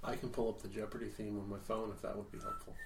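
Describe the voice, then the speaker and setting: A man playing a tabletop game, chatting casually and telling jokes in an animated way. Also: fairly low pitch, fast talker. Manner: animated, joking. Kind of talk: casual chat. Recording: clear background noise.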